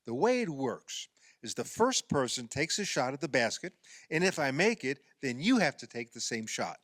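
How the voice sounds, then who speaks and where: An actor playing an eager, needling fifty-year-old husband in a radio play, excitable and argumentative. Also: male voice, mid-range voice, normal speed, no room sound. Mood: insistent, bickering.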